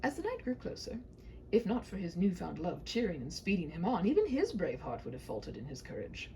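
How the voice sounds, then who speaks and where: A woman's voice: fairly low pitch, wildly swooping pitch, a little fast, mellow, a little hiss or hum, rough recording. A woman narrating a humorous written story with a theatrical, mock-grand storybook delivery.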